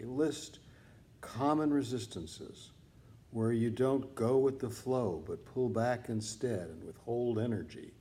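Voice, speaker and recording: Slow, mellow, expressive male narrator. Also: mid-range voice. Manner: deliberate, measured phrasing with pauses. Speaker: author reading own book on mindfulness. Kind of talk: scripted audiobook narration, meditation teaching.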